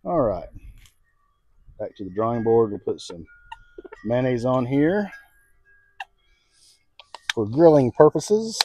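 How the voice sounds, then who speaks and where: A man's voice: mid-range voice, animated, takes it slowly, pauses often, dark tone, slight background noise, rough recording. An American man hosting a casual outdoor cooking vlog, talking in a folksy, informal way and thinking aloud. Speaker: home cooking video host. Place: outdoors on a home patio. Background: music from a radio.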